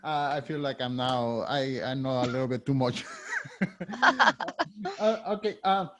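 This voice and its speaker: A man in his fifties with a Spanish accent, hosting an interview and asking curious, candid questions with a slightly halting delivery. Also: mid-range voice, background noise. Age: 50s. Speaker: podcast host.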